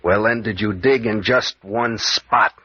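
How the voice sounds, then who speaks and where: An American actor playing a bold, sardonic adventurer captain in an old-time radio serial, with needling, probing delivery. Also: male voice, on the low side, measured pace, sharp tone, slightly rough, exaggerated voice. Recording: muffled, echoey vintage radio recording.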